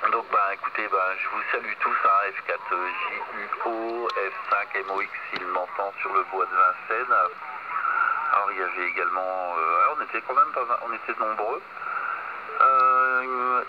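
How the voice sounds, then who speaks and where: A man with a medium-pitched voice is heard over a dull radio transmission full of heavy noise. He talks casually as a ham operator, hesitant and a bit flustered. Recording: dull, very noisy shortwave radio reception.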